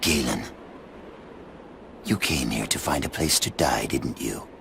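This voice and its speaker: A cynical lone warrior out for vengeance in a game cutscene. His voice is extremely low and gravelly. He speaks tersely and with menace, over clearly noisy audio. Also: a little slow, fairly bright tone, full character voice. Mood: cool, sardonic. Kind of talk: dramatic video game cutscene acting.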